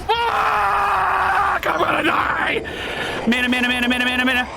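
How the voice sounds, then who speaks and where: A man doing live gaming commentary, excitable and exclamatory, swearing and rapidly repeating words. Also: extremely high pitch, creaky, shouting, heavy background noise. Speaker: video game streamer.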